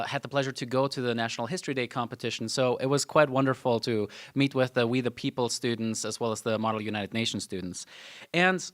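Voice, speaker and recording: A man's voice: medium pitch, a bit bright. A man in his twenties, from Germany, giving a university talk with slides as a law student, with frequent "um" and "uh" fillers and casual asides. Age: 20s.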